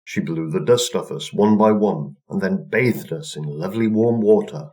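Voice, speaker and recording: A man's voice: sits low, moderate pace, mellow, lightly acted. Folktale lizards, male reader: tiny creatures, grateful, speaking plainly.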